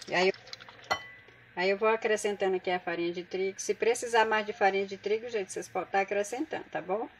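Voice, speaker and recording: A woman's voice: medium pitch, steady tone, quick pace, background noise. A woman with a Brazilian Portuguese accent hosting a home cooking tutorial in her kitchen, talking chattily to her viewers as she works. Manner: chatty, addresses viewers as gente. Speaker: cooking channel host.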